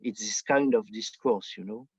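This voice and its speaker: A man from France with a French accent, giving political commentary conversationally in halting non-native English, searching for his words. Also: lowish voice, darkish tone, husky. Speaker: podcast guest, book editor. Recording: dull, roomy, lo-fi remote connection.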